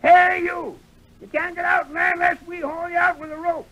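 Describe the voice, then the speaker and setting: An actor playing a gruff, feisty old prospector with a folksy American drawl in an old radio western. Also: male voice, steady tone, brisk, sharp tone, rough recording. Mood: shouting threats. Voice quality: creaky, cracked high voice. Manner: folksy frontier drawl, blustering. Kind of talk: 1930s-40s radio western acting. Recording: vintage radio recording.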